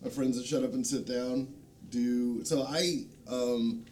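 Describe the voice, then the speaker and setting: Man, mellow tone, mid-range pitch, hesitant panel guest, conversational, frequent ums, faint rumbling noise. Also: fairly even intonation. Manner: hesitant, halting delivery with frequent ums and long pauses. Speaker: guest, game designer. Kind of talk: casual panel chat, recommending video channels. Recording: low rumbling background noise.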